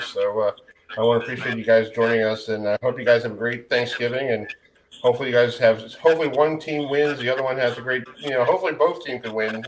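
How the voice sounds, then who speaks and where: A man's voice: mid-range voice, background noise. A man with an American accent hosting a sports talk show in a casual, chatty way. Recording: distant from the mic.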